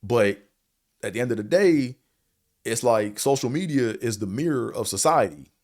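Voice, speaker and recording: A man with a deep voice and a slight stutter, giving a blunt, profane, rambling opinion monologue as a show host, full of fillers. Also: expressive, normal speed, darkish tone. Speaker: show host reviewing a series.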